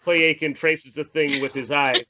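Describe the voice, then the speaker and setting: A man's voice: a little high, steady tone, normal speed, highs cut off, very noisy. A man reading a screenplay's stage directions as dramatic, descriptive scripted narration.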